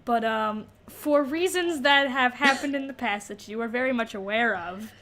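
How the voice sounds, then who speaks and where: A woman's voice: fairly high pitch, normal speed, pushed and bright. A woman co-hosting a podcast, chatting informally about a book and reacting with short interjections. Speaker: podcast co-host. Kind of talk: casual book discussion chat.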